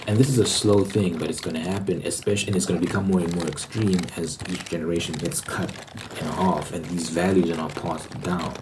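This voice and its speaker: A man with a very deep voice delivers an opinionated ASMR ramble. He talks haltingly and repeats phrases to stress them. Clear background noise with keyboard typing runs behind him. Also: fairly even intonation. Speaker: ASMR host.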